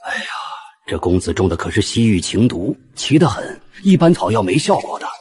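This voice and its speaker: A man playing a knowing, shifty old physician in an animated costume drama, with a theatrical, fussy way of talking. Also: lowish voice, a little fast. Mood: ominous, knowing. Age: older.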